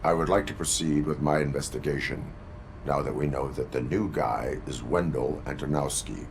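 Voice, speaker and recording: Stoic cyborg policeman, extremely low pitch, gravelly fry, flat terse machine-like delivery, video game. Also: normal speed, a little hiss or hum. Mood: stoic, clipped.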